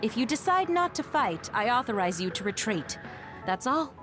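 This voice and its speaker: A woman's voice: on the high side, big pitch swings, moderate pace. A woman playing a genial, easygoing military squad commander in an anime game, breezy yet authoritative. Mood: commanding. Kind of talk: English anime-game voice acting. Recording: music behind the voice.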